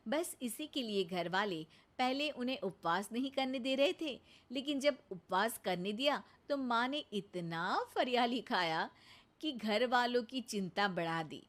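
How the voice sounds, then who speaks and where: A woman's voice: a little high, animated, unhurried. Woman narrating a cartoon story.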